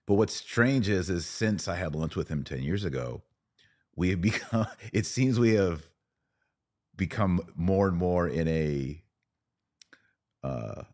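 A man's voice: deep voice, expressive, slow, pauses often, darkish tone. A man in a casual podcast conversation, hosting and talking in a reflective, anecdotal way. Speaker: podcast host.

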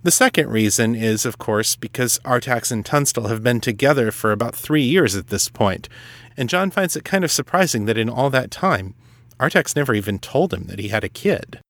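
A man hosting a solo podcast, reading and answering listener letters in an articulate, measured, explanatory way. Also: medium pitch, expressive, a bit bright, no room sound. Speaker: podcast host and novelist.

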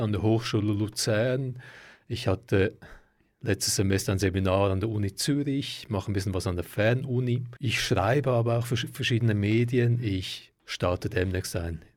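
A man from Croatia, a political scientist, answering interview questions calmly and fluently. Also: mid-range voice, measured pace. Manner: calm, fluent and articulate. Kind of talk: radio interview.

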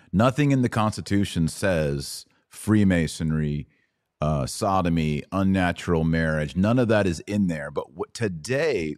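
A low-pitched American man speaks as a podcast host in close, dry sound. He debates conversationally, stressing his points and cutting in with repeated phrases. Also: a little mellow, no room sound. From United States. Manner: emphatic, interjecting, repeats phrases.

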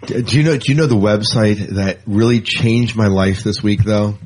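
A man's voice: on the low side, moderate pace, a little mellow, slight background noise, rough recording. A man co-hosting a casual sci-fi fan podcast, rambling and jokey, often repeating words for emphasis. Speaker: podcast co-host.

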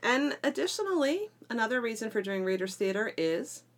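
A woman's voice: on the high side, sing-song, fairly quick. A middle-aged woman talking conversationally as a podcast co-host, explaining things with emphatic phrasing. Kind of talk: conversational educational podcast discussion.

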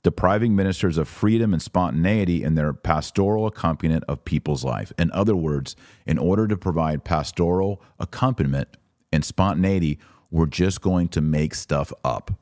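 A man's voice: low voice, moderate pace, darkish tone, no echo, studio quality. A man hosting a podcast, reading documents aloud and commenting on them with sarcasm and emphasis. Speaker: podcast host.

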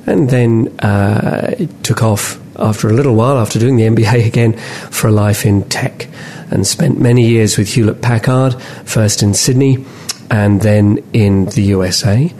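Man from England, middle-aged, low voice, wry and relaxed, faint rumble behind. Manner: relaxed and wry, laughs easily, with frequent "uh" pauses. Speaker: podcast guest. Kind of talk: casual interview chat.

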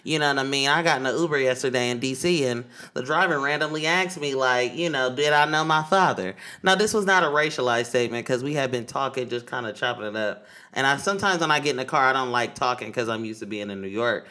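A man from Oakland talks through a casual podcast story. He uses loose slang and swears freely. His voice has a bright, forceful edge, and his pitch sits in the middle. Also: quick pace. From East Oakland, California. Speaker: podcast co-host.

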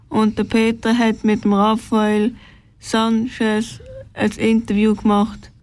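Female radio presenter, flat intonation, dry sound, simple scripted delivery. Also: fairly high pitch, dry recording.

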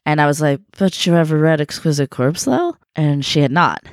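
A low-pitched woman chats casually as a podcast co-host, laughing easily and sounding a bit phlegmy. The sound is dry and close, with no room around it. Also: expressive, moderate pace, no room sound. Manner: chatty, laughs often, swears casually. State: congested, phlegmy from illness. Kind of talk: casual chat.

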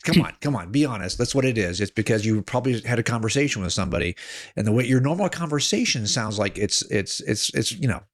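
A man's voice: lowish voice, animated, fast talker. A man as a guest on a radio show, teasing the host and then explaining his points step by step. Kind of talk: casual radio conversation.

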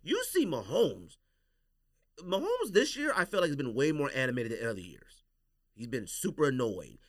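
A man's voice: mid-range voice, huge pitch swings, normal speed, no echo, clean studio sound. An American man hosting a casual sports podcast, giving emphatic, opinionated takes and repeating his points for emphasis.

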